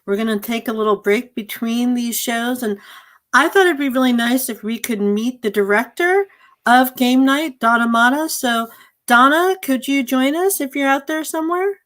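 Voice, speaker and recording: A woman's voice: on the high side, normal speed, a bit bright. A woman hosting an informal interview on a video call as a fellow theatre director.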